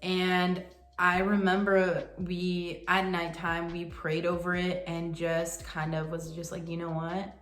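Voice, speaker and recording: A woman's voice: on the low side, little pitch movement, slow pace, fairly bright tone. Young woman vlogging in an echoey room. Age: young adult. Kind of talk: casual chat to camera.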